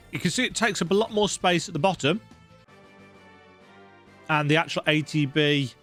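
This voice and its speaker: Lively, higher-voiced man chatting over a game. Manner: rambling, conversational. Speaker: let's play host. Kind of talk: casual game commentary.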